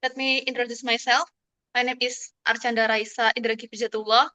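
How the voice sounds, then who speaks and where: A woman's voice: on the high side, flat delivery, brisk, pushed and bright. A young woman with an Indonesian accent, a native Indonesian speaker, asking a question politely in formal, hesitant English over dull audio. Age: young adult. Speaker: student audience member asking a question.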